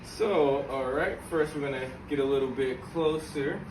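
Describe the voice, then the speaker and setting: A man with a mid-pitched voice talks like a casual YouTube host, using slang and speaking right to the viewer. He moves between hands-on explaining and personal storytelling. The homemade recording carries clear background noise. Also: normal speed. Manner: informal, slangy, addresses viewers directly. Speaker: YouTube vlogger and channel host.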